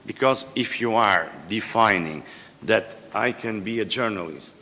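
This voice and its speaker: Low-voiced man in a reverberant hall. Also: unhurried, sharp tone. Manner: hesitant, many fillers and restarts. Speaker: panel expert. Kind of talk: conference panel remarks. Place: large conference hall. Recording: long-reverb conference hall.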